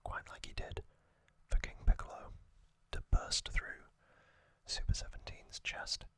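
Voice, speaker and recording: An ASMR host whispering an explainer in a hushed delivery, with frequent pauses. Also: no room sound.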